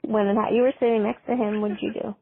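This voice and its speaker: A woman's voice: medium pitch, flat delivery, fast talker, slight background noise. A woman co-hosting a podcast, casually asking questions in a conversational way, with a thin phone-like sound.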